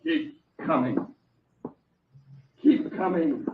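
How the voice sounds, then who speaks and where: An actor playing a jilted, obsessive nightclub comic, intense and bitter in a radio drama. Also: male voice, a little high, wildly swooping pitch, drawn-out words, vocal fry, full character voice. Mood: bitter, menacing. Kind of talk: 1950s radio drama acting. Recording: dull vintage radio recording, faint hiss.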